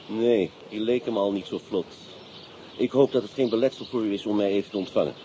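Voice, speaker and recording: An actor playing a brisk, wry police inspector with a Dutch accent in a radio drama. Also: male voice, fairly low pitch, dark tone, lightly acted. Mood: polite, dry. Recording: dull, noisy radio play recording.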